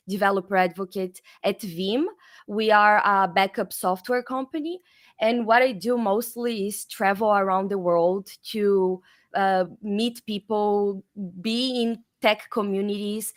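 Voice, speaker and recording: A woman from Brazil with a Brazilian accent, a guest chatting casually in a video-call interview with many fillers and restarts. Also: mid-range voice. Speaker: guest, developer advocate.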